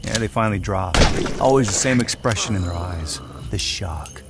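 An actor playing a cocky, cold-blooded sniper who narrates a motion comic in a terse, cynical way. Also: male voice, lowish voice, lively intonation, normal speed, gravelly. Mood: grim, focused. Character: hard-boiled Hydra sniper, cocky cold-blooded male killer. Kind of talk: first-person scripted narration of a motion comic. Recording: loud music under the voice.

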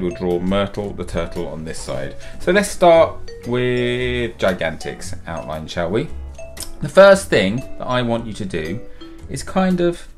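A man in his fifties, a children's author and illustrator, cheerfully talking young children through a drawing step by step from his home studio. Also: fairly low pitch. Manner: upbeat and encouraging, talks children through each step.

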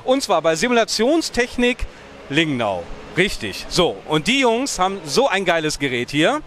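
A man's voice: high-pitched, very animated, moderate pace, a bit bright. A man in his thirties hosting a casual vlog in a hyped, slangy, self-deprecating way. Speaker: vlog host. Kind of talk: casual, excited on-location vlog chat. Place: busy glass-fronted event hall with racing simulators. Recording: noisy event hall, vehicle sounds behind.

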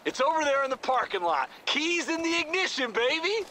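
Crude excitable druggie rebel leader in a video game, very high voice, swings all over, noisy engines behind. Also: male voice, normal speed, full character voice. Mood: hyped. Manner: exuberant, crude, hyped-up ranting. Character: excitable, foul-mouthed, drug-loving revolutionary man, stoner gang leader. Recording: loud vehicle noise behind.

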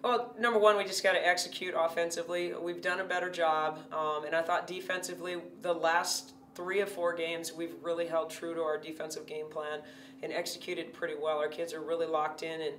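A woman coach in her forties talks through a post-game interview in a steady, measured way, pausing on fillers. Her voice stays fairly even in pitch. She sits a little back from the mic in a room with a noticeable echo. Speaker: head basketball coach. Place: indoor press backdrop. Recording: roomy, distant, faint hum.

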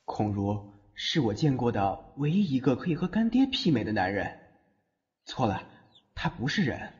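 A man playing a smitten, easily flustered young man in an audio drama, expressive, tender and a little exasperated. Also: medium pitch, normal speed, husky. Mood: tender, reflective. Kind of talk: audio drama acting with inner monologue. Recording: muffled lo-fi audio drama over music.

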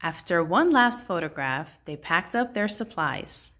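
Give this a woman reading a children's rhyming picture book. She takes her time, with a measured, sing-song delivery. Her voice sits a little low but swings widely in pitch as she tells the story. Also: animated, fairly bright tone. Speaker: children's book read-aloud host. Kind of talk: expressive picture-book read-aloud in rhyme.

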